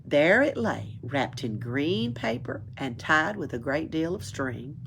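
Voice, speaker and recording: A low, gravelly woman's voice reads a children's book over noticeable background noise. The storytelling is expressive, suited to young listeners. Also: takes it slowly. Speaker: library storyteller. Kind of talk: reading a children's book aloud.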